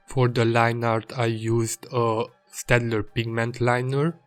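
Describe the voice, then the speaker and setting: A man narrates a scripted voice-over for an art video. He speaks slowly at a medium pitch, in a plain and measured manner. Faint music plays behind him. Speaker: illustrator and video creator.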